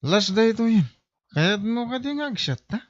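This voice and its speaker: An irresponsible drinker of a husband acted out by a man for an audio drama, defensive and evasive. His pitch swings widely, and the sound is dull and lo-fi. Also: lively intonation, a little slow, no room sound. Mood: tipsy, brushing off. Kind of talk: amateur audio drama acting.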